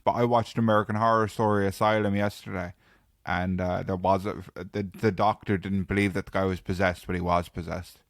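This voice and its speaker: A man's voice: deep voice, flat delivery, fairly quick, no echo, clean studio sound. A young Irish man chatting casually with friends on a podcast, joking and laughing as he tells stories. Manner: jokey, banters with friends, laughs while telling stories. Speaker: podcast co-host. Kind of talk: casual chat.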